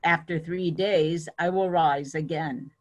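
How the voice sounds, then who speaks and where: Lower-voiced woman reading scripture. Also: fairly even intonation, slow pace. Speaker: scripture reader.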